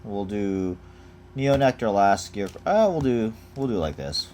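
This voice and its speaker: A man's voice: on the low side, a little slow. A young man casually chatting while unboxing trading cards at home, rambling with lots of fillers. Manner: rambling, relaxed, many fillers. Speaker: card collector unboxing. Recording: noisy home recording. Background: crinkling of packaging.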